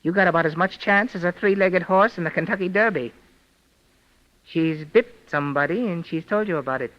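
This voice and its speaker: Actor as high-voiced sardonic doctor. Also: male voice, fairly quick, close to an everyday voice. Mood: resigned, wry. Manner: dry, wisecracking, blunt. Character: cynical, sardonic male doctor, detective's friend. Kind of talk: 1940s radio mystery acting. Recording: dull, lo-fi vintage radio recording.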